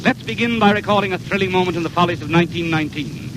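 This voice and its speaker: A man's voice: high voice, rapid-fire, background noise. A man announcing a 1930s radio show in a theatrical, declamatory showman style, on an old broadcast recording.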